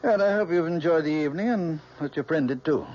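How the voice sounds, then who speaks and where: An American man, middle-aged, playing a possessive, doting, scholarly father in an old-time radio drama with a genteel, measured manner. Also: on the high side, darkish tone, close to an everyday voice. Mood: gently teasing. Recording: muffled, noisy old radio recording.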